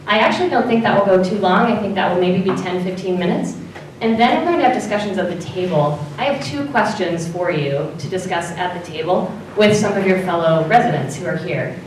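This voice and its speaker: A woman facilitator speaking loudly and in an organized way as she walks a public meeting through its plan. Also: fairly low pitch, fairly quick, a little hiss or hum. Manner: loud, clear and organized. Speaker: facilitator presenting an assessment. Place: large meeting room. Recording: echoey hall from far off.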